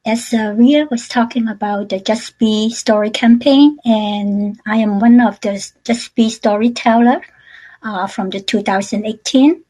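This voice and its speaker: Woman, halting testimony. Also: fairly high pitch, steady tone, dark tone, low-quality recording. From Hong Kong. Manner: halting, many fillers and restarts. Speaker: panel speaker sharing her story. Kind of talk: personal testimony on a webinar.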